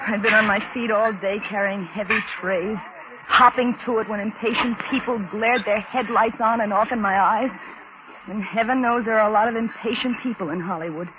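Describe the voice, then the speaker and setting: Through clear old-radio noise comes a weary young American car hop, played by an actress. She speaks in a mid-pitched voice, plain and wry, confiding in the listener with an anxious edge in 1940s suspense-drama fashion. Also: moderate pace, lightly acted. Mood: confiding, uneasy. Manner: wry, confiding, working-class plain talk. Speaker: narrator and lead character. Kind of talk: 1940s radio suspense acting, first-person narration.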